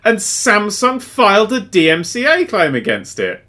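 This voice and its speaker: A man's voice: high voice, huge pitch swings, moderate pace, pushed and bright. A man co-hosting a podcast, telling crude, sweary jokes in casual chat. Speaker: podcast co-host.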